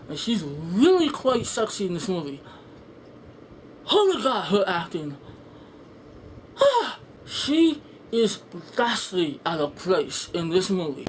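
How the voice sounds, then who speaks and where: Exasperated, sweary woman over background noise. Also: mid-range voice. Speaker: film reviewer and host. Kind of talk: unscripted ranting movie review.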